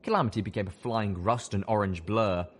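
A man's voice: on the low side, brisk. A man giving dramatic scripted narration of a story as an audiobook narrator.

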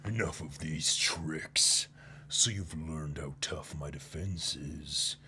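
Gravelly, theatrical manga fighter, acted by a man. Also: extremely low pitch, bright, forceful tone, slight background noise, heavily acted. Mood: cold menace. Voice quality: gravelly, husky. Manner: slow, theatrical, menacing. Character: menacing, battling anime villains and pirates, read dramatically. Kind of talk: dramatic manga reading.

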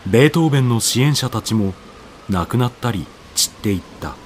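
A man's voice: medium pitch, lively intonation, a little fast, slight background noise. A man narrating a radio drama from a script in a solemn, declamatory, dramatic manner. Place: studio voice-over with music behind.

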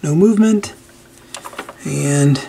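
A man's voice: fairly high pitch, slow pace. A man giving a casual hands-on repair walkthrough, informally thinking aloud as he works. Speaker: host of a repair channel. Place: indoors at a cluttered workbench. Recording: steady clear background noise with clicks.

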